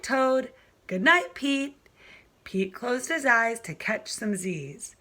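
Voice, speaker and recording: Bright-voiced woman reading slowly to children. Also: on the high side. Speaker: kindergarten teacher reading aloud. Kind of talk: children's storybook narration.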